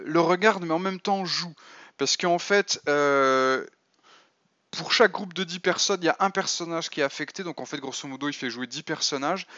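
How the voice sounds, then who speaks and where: A man's voice: on the high side, bright, forceful tone, no echo, dull audio. A man giving a casual spoken essay as a podcast host, full of fillers and restarts. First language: French. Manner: hesitant, many fillers and restarts.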